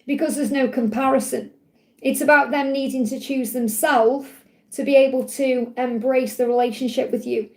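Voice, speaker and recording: A woman giving a live tarot love reading in gentle, repetitive, reassuring guidance. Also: high voice, vocal fry. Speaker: tarot reader.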